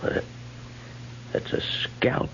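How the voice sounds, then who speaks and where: An actor playing a cautious, bureaucratic army major in a 1950s American radio drama, measured and official with some hesitation. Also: male voice, fairly bright tone, a little hiss or hum. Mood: uneasy. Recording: muffled, humming 1950s radio recording.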